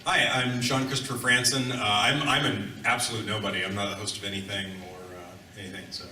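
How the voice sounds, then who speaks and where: A man's voice: lowish voice, level tone, fairly quick, sharp tone. A man speaking casually as a panelist, heard from a distance in an echoing room.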